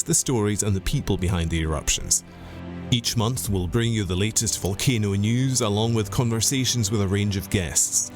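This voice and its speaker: A Scottish man hosts a podcast in a clear, upbeat presenter's voice, pitched a little low. A soft music bed plays quietly behind him. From Scotland. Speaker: podcast host. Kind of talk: scripted intro and interview hosting.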